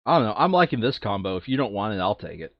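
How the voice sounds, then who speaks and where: A man with a fairly low voice chats casually over a board game, thinking out loud as he goes. He can get animated. The recording is dry and a little dull. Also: fast, no room sound. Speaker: board game player on a playthrough video. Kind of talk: casual chat while playing a board game.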